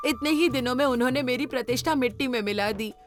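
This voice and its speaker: A woman's voice: high-pitched, fast talker, over music, close to an everyday voice. A woman voicing a sorrowful, loyal wife who runs an inn, in a moral story. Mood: hurt reproach. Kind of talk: dramatised Hindi moral story.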